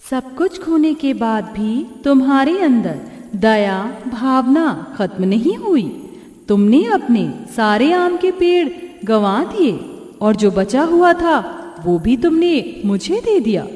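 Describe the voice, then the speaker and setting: A woman voicing a gracious, benevolent mother goddess in an animated moral story, giving blessings in a serene, measured way. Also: high-pitched, echoey room, big-room sound, full character voice. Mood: pleased, reassuring.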